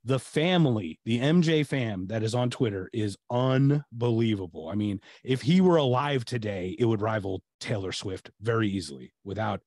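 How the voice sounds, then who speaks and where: A man's voice: on the low side, expressive, moderate pace, hardly any pauses, darkish tone, dry recording. Middle-aged American man, podcast host, rambling casual talker, dry video-call audio. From United States. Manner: rambling, many restarts and fillers. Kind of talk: casual interview chat. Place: home room, video call.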